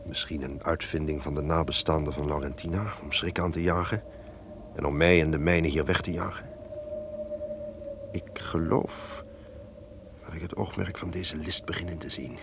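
An actor playing a guilt-ridden, suspicious castle lord, theatrical and declamatory, in an old-fashioned radio drama. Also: male voice, a bass voice, vocal fry, heavily acted. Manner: theatrical, declamatory, swinging from barking orders to brooding. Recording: noisy old radio play recording.

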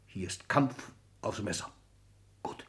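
Imperious, thundering old patriarch. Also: male voice, slow pace, a bit bright, slight background noise. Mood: scheming, scornful. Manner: theatrical, commanding, swings from muttering to thundering. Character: imperious seventy-year-old male patriarch, proud, combative captain of industry. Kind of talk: 1960s German radio drama acting.

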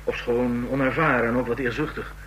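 Man playing a calculating secret policeman, medium-pitched. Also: fairly even intonation, fairly quick, fairly bright tone, lightly acted, low-quality recording. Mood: dryly calculating. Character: calm, calculating secret police dossier-keeper. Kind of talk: old Dutch radio drama acting. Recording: old radio recording with faint hum.

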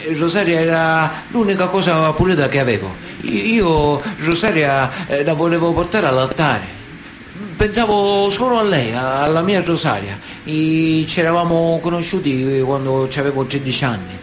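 A man's voice: on the high side, animated, moderate pace, slight background noise, lightly acted. A man acting a Sicilian mafia man of honour in a radio theatre monologue, earnest and matter-of-fact, with a Sicilian accent. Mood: pained, pleading.

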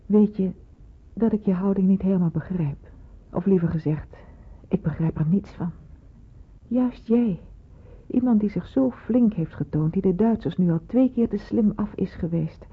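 A woman's voice: mid-range voice, moderate pace, breathy edge. An actress playing a stern but motherly senior nurse in a Dutch radio play, speaking with persuasive authority. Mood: motherly, consoling. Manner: authoritative, admonishing. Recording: muffled, phone-like old radio recording.

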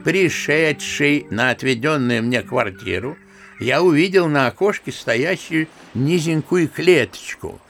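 An actor playing an old general and grandfather, telling his memories in an unhurried, bookish, old-fashioned way in a radio drama. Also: on the high side, lively intonation, a bit bright, faint music behind. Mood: reminiscing. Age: older. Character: old general and grandfather, unhurried naive storyteller, good-natured. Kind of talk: Russian radio drama acting.

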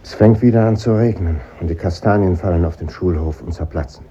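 An actor playing a middle-aged former pupil, narrating in a measured, melancholy way in a 1950s German radio play. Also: male voice, sits low, mellow, natural voice. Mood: melancholy, grave. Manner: measured, pensive. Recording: dull, noisy 1950s radio recording.

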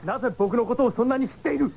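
A man's voice: extremely high pitch, rapid-fire, vocal fry, a loud crowd. A man playing an earnest, impulsive young cyborg hero in a 1970s radio drama. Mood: agitated. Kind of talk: 1970s anime-style radio drama acting. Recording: dull 1979 radio recording.

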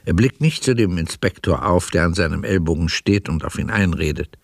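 The storyteller of a radio play, with a fairly low voice in a dry studio sound. He sets out each scene in measured, sober, literary narration. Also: vocal fry, dry recording. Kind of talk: scripted narration.

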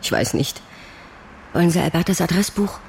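A woman's voice: lowish voice, animated, moderate pace, vocal fry, a little hiss or hum. An actress playing a plain-spoken woman being questioned as a witness in a radio crime drama. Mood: reserved.

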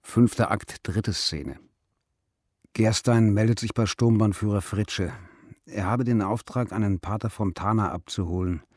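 Male stage-direction reader, deep voice, dry recording, scripted narration. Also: unhurried, a little mellow, no echo.

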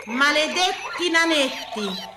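A woman's voice: sits high, expressive, slow, heavy background noise. A woman with a Tuscan accent performing a theatrical monologue, emphatic and rhythmic, building lists and repetitions like incantations. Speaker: stage actress and writer performing her own monologue.

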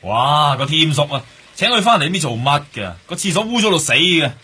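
Gossipy hk cop, raspy, swoopy pitch, grumbling, radio drama. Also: male voice, very animated, brisk, sharp tone, heavily acted. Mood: griping. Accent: Hong Kong. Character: griping, gossipy male police detective.

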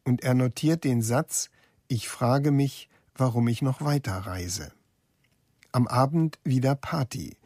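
A man's voice: mid-range voice, takes it slowly, darkish tone, husky, dry recording, clean studio sound. A man delivering scripted radio narration as the narrator.

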